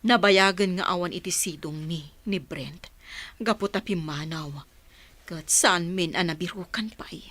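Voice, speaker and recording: A woman's voice: lowish voice, unhurried, a bit bright, dry recording. An actress playing an evasive, guilt-ridden woman in a radio drama, speaking in a hesitant, emotional way. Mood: evasive, uneasy.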